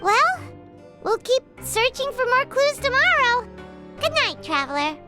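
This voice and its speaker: An extremely high, childlike fairy girl chatters like a game companion. The squeaky voice is animated and excitable, bouncing widely up and down, with music playing clearly behind it. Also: a little slow, full character voice. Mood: chatty. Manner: speaks of herself in the third person, animated. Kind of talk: video game voice acting.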